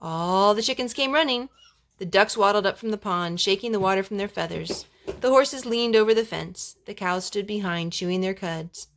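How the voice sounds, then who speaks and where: A woman reading a children's story aloud in an expressive, animated way, with gentle asides to young listeners. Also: medium pitch, normal speed, slight background noise.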